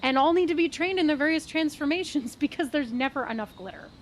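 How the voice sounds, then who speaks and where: Frazzled gnome coach acted by a woman, audio drama, higher voice, bubbly, halting, rambling, light background noise. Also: animated. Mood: flustered, rambling. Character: frazzled, enthusiastic female gnome training magical girls.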